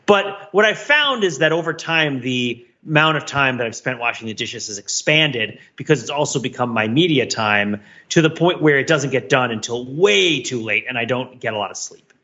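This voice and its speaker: A man's voice: mid-range voice, sharp tone, lo-fi sound. A middle-aged man co-hosting a casual podcast, explaining things at length in an analytical, rambling, mock-academic way. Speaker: podcast co-host.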